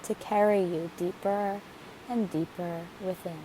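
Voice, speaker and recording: Woman, meditation guide, slightly low pitch, gentle deliberate phrasing, unhurried slow delivery, faint background hiss. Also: rough recording. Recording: steady hiss behind the voice. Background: hiss, rushing waterfall water.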